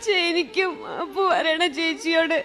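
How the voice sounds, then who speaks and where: Very high, tearful young daughter. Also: female voice, normal speed, sharp tone, exaggerated voice. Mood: pleading. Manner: tearful, pleading. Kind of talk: melodramatic radio drama acting.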